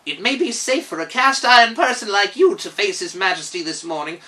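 A man doing high-voiced, frank gnome steward. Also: brisk, sharp tone. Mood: wary. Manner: emphatic, cautioning.

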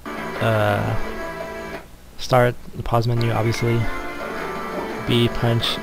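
A man giving casual gameplay commentary as a Let's Play gamer, in a hesitant, low-key manner. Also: monotone, takes it slowly, gravelly. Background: game music.

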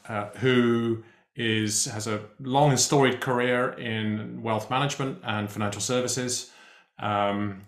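A man hosting a live interview show over a video call, talking in a relaxed way with many ums and pauses. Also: fairly low pitch. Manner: relaxed, hesitant with many fillers. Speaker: host. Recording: roomy echo on a video call.

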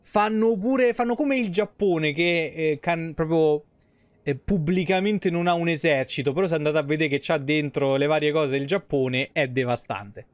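A man with a smooth, fairly high voice and a Roman Italian accent. He talks like a game streamer chatting to viewers, rambling in colloquial, sweary speech. The sound is dry and close. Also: moderate pace, dry recording. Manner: colloquial, swearing, animated digressions. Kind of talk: casual livestream commentary, chatty. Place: small indoor streaming room.

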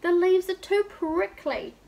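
A woman's voice: extremely high pitch, animated, slow, creaky, dull audio, pitched above the performer's own voice, heavily acted. A woman doing a fussy storybook king. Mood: demanding. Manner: loud demanding cries. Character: fussy cold-footed storybook king, man.

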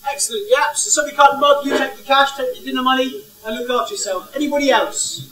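A man in his thirties speaks with a very high, bright voice. He paces and gestures, asking the audience questions. He is recorded without a mic, from a distance, in a roomy hall. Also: quick pace. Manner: energetic, walks around gesturing, asks the crowd questions. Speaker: head of school leading an icebreaker. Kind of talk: interactive talk without a microphone. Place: large indoor hall. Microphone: no mic, far camera.

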